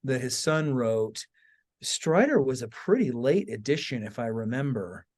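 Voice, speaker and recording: A man with a medium-pitched voice hosts a podcast discussion. He speaks informally and with engagement, as in a relaxed chat between colleagues, often checking that his listener follows. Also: measured pace. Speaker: podcast host. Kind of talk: casual conversational discussion.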